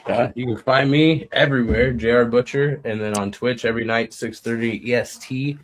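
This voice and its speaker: A man's voice: medium pitch, steady tone, moderate pace, poor audio. A man co-hosting a podcast, casually plugging his online streams. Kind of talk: casual chat, plugging channels. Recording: faint background noise.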